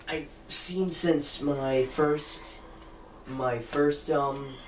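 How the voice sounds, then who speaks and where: A teenage boy hosting his own gaming channel, chatting casually and rambling as he corrects himself mid-sentence. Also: medium pitch. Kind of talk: casual let's-play chat. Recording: echoey room with faint background noise.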